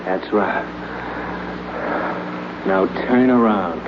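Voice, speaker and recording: An actor playing a cold, smug murderer in a 1950s radio drama, calm and sneering. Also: male voice, fairly low pitch, extremely slow. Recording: dull, noisy old radio broadcast.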